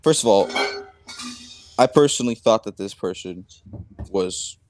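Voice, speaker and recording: A man with a deep voice answering accusations haltingly, in a flat, even way of talking. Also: music in the background. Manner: hesitant, halting, monotone. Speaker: content creator answering accusations.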